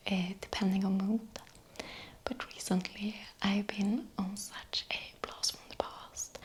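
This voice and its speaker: A young woman with a Swedish accent whispering softly in an ASMR question-and-answer video, unhurried, with small hesitations. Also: mid-range voice. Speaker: ASMR creator.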